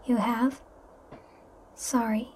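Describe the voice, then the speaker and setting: A woman's voice: on the high side, level tone, drawn-out words, darkish tone, slightly rough, exaggerated voice. A woman voicing a shy, apologetic, sad young girl in a video game. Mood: sad, hesitant.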